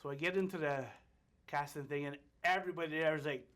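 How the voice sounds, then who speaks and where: A man guesting on an interview, telling a story in a relaxed way and acting out the people in it. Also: a little high, fast. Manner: animated, acts out the other people in his stories; relaxed and laid-back, laughs often. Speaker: guest, film actor.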